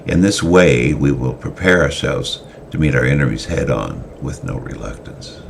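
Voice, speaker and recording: A wise, solemn old mentor of small stature speaks in archaic phrases, his voice very deep and gravelly, as a storyteller puts him on. A faint hiss runs under the reading. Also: animated, normal speed, full character voice.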